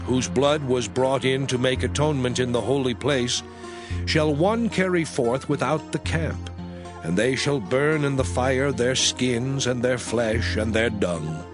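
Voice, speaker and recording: A man reading scripture in a formal, solemn and measured declamatory style. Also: mid-range voice. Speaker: scripture narrator. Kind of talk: dramatized audio Bible reading, formal narration. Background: music.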